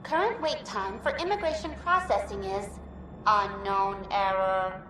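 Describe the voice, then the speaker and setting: A woman's voice: mid-range voice, measured pace, background noise, lightly acted. A woman voicing a cheerful, helpful virtual intelligence in a game, giving polished, formal, scripted welcome announcements. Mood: polite, automated. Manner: polished, upbeat, formal customer-service delivery. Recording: echoey distant sound with music behind.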